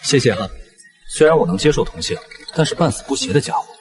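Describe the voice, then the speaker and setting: A man playing an unruffled young hero who tells his own story in an animated web drama, in a deadpan, sardonic manner. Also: medium pitch, fast talker, a little hiss or hum, lightly acted. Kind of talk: first-person narration in animated web drama. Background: music.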